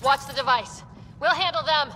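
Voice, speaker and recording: An actress playing a brisk, decisive space squad leader in a video game, speaking in confident, commanding, concise lines. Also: female voice, high voice, sharp tone, long reverb tail, a little hiss or hum. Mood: urgent, shouting.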